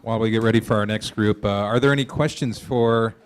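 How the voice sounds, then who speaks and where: Low-pitched man moderating an event. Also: fairly even intonation, a little fast, a little hiss or hum. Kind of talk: live event moderating, questioning student performers. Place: live readers theater event at a college library.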